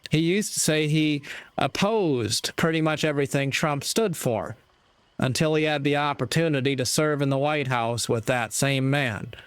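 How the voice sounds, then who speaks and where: A man giving a reflective, sermon-like monologue in a deliberate, measured way, pausing between short phrases. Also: fairly high pitch, clear, resonant tone, dry recording. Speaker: commentator giving a moral talk.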